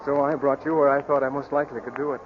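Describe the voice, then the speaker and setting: Male actor as well-bred smitten boss, polite, halting, earnest, 1940s radio drama, faint hiss, dull sound. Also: medium pitch, little pitch movement, lightly acted. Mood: tender, earnest. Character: bashful, well-bred young magazine boss, smitten suitor. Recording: muffled, hissy 1941 radio recording.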